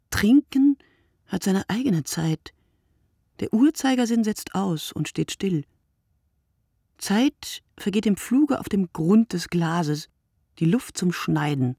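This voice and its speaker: Radio play narrator, husky and lowish, musing in deliberate poetic fragments, very dry sound. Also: female voice, a little mellow, no echo, full character voice. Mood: emphatic, sardonic. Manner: deliberate, fragmented phrasing, dwelling on words. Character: reflective woman narrator musing poetically on night-time drinkers. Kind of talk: literary radio play monologue.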